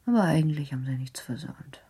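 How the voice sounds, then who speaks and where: A gravely ill young woman in a radio drama. Her voice is low, cracked with creak and heavily breathy. She speaks weakly and serenely, resigned to death. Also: lively intonation, fast, warm tone, heavily acted. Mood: wistful, serene. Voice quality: breathy, weak, whispery. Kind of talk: German radio drama acting.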